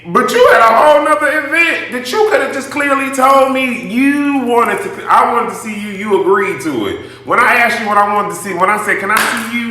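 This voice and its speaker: A man's voice: sits high, bright, forceful tone. A man telling a personal story to an audience in a casual, blunt, emphatic rant full of swearing. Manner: emphatic, blunt, profanity-laden, addresses listeners directly. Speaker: storytime host addressing an audience. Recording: very echoey room.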